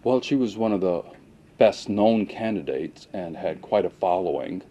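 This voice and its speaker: Guy being interviewed, police lieutenant, really deep mellow voice, careful and a bit hesitant. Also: lively intonation, moderate pace. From Pakistan, moved to the US at age seven. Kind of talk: unscripted interview answers.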